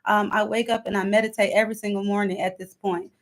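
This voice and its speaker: A woman's voice: medium pitch, flat delivery, quick pace. A woman of thirty-two talking casually as an interview guest, emphatic and often repeating her phrases to drive them home. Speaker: interview guest, hair stylist.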